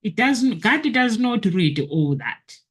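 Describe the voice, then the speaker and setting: A woman's voice: fairly high pitch, sing-song, slow pace, breathy edge. A woman hosting a motivational video, talking earnestly to her viewers and repeating her key phrases.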